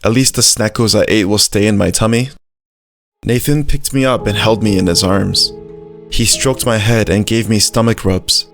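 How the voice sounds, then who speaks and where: A man's voice: on the low side, steady tone, normal speed, no room sound, close to an everyday voice. A man voicing a brave, loyal little dog who narrates his own story in a simple, earnest way. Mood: weary. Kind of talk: first-person scripted story narration. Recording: music behind the voice.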